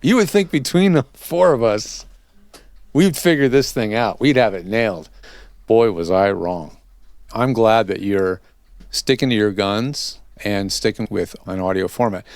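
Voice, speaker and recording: A man's voice: lowish voice, measured pace, darkish tone, dry recording. A man hosting a podcast interview, chatting casually in a conversational, self-deprecating way. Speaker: podcast host interviewing a guest.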